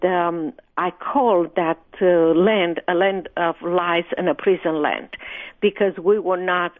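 A woman from Romania, a guest author telling her life story in an interview, speaking hesitantly with many ums. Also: on the low side. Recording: tinny phone line.

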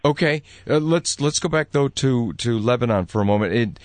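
A male host conducts a conversational interview with animated, widely swinging pitch. His voice sits in a middling register and sounds close and dry, with hardly any room around it. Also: moderate pace, no room sound.

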